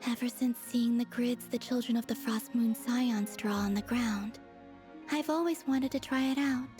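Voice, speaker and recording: A woman voicing a serene young moon goddess in a video game, soft-spoken, composed and unhurried. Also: fairly high pitch, steady tone, natural voice. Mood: serene, wistful. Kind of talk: animated video game voice acting. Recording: faint music behind the voice. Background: faint game music.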